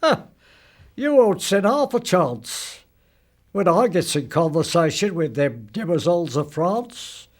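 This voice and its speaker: A cocky, chatty Cockney soldier from a war poem, acted out by an old man. His voice is high and swoops freely, and he takes his time showing off. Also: very animated, unhurried. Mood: cocky, teasing. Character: cheeky talkative young Cockney soldier, male.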